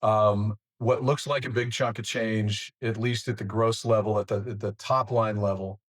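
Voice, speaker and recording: Low-pitched American male interview host. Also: steady tone, moderate pace. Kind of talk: conversational interviewing.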